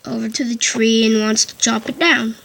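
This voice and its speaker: A woman's voice: fairly high pitch, steady tone, vocal fry. A woman narrating a casual game tutorial into a headphone mic, often stopping to correct herself. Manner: halting, frequent self-corrections and restarts. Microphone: headphones with built-in mic. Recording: loud background noise on headset mic.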